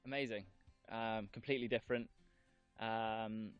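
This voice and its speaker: Low, flat-voiced young man. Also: moderate pace, clean tone, no room sound, muffled recording. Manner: relaxed, hesitant with fillers. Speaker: trainee stud worker. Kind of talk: casual interview answers. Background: background music.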